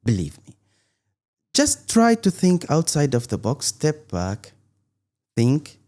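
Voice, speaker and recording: A man's voice: on the high side, wildly swooping pitch, takes it slowly, darkish tone. A middle-aged man from Tunisia, a guest in an interview, talking earnestly with many restarts and an emphatic "believe me". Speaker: guest, content creator.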